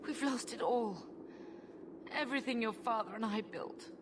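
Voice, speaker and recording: A woman's voice: fairly high pitch, normal speed, background noise, natural voice. An actress playing a grieving but resolute middle-aged mother in a fantasy game. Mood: sorrowful, pleading.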